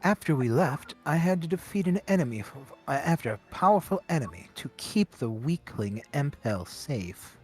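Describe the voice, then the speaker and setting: A man voicing a proud, prickly, boastful noble warrior in an amateur game fan dub, with halting delivery. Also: on the high side, sing-song, a little mellow, faint music behind. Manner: halting delivery with throat clearing.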